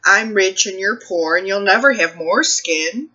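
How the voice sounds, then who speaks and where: A woman speaking as a children's librarian at a read-aloud storytime, expressive and addressing young children and families. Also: medium pitch, a little slow, lo-fi sound. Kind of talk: picture book read-aloud and talk to families.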